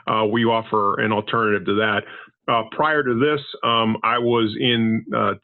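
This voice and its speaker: A man from Panama, first language Spanish, answers interview questions in a measured, explanatory way and often doubles his words. His voice comes through a narrow, tinny phone line with a dry sound. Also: fairly low pitch, steady tone, vocal fry, no echo. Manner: measured, explanatory, frequent repetitions. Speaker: podcast guest, business consultant.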